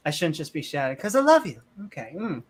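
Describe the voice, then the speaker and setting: A woman co-hosting a casual livestream chat. She tosses out quick jokes and one-liners in a relaxed, offhand delivery. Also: low-pitched, noisy background. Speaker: co-host.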